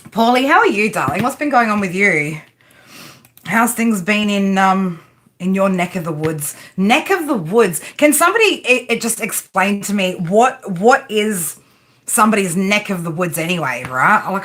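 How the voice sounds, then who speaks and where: A woman's voice: mid-range voice, expressive, a little slow, fairly bright tone. A woman with an Australian accent, chatting casually and playfully on a live stream while she does a tarot reading and answers viewers' comments. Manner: chatty, playful, slangy, reads chat comments aloud. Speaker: tarot reader.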